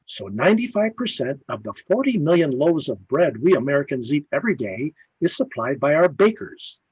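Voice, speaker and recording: Husky, lively old-time radio announcer man. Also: on the high side, fairly quick. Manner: emphatic, polished salesmanship. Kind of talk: 1950s radio announcing and ad reading. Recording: muffled, roomy old-radio style sound.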